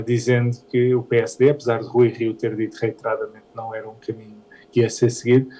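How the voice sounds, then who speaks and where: A man from Canada, a politician, giving measured, analytical comments on an election result, with frequent hesitations. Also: mid-range voice, warm tone, poor audio. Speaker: politician interviewee.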